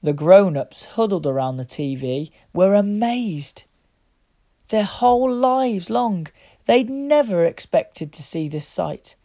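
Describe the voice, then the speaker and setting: A woman reading a picture book aloud to young children, with deliberate, expressive phrasing. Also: mid-range voice. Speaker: children's story reader.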